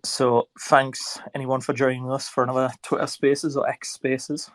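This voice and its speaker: A man with a stuffed-up voice from a cold, hosting a casual online chat in a relaxed, rambling way. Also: medium pitch, normal speed, no echo. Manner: relaxed, rambling, filler-heavy. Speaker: host.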